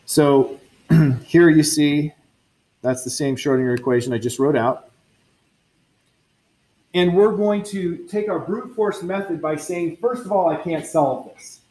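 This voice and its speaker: A man's voice: on the high side, a little slow, pauses often, warm tone, poor audio. A man teaching a university physics lecture, working through equations step by step, correcting himself and tossing casual asides to his students. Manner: thinks aloud, self-correcting, chatty asides to students. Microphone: lecture recording.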